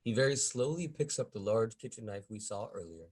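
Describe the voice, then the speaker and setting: A man's voice: on the low side, animated, fairly quick, slightly rough. A man reading stage directions as the narrator of a script table read.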